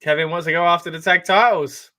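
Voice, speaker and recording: A man hosting a live watch-along, chatting excitedly and reacting as he reads out viewer comments. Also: a little high, quick pace. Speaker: wrestling watch-along host.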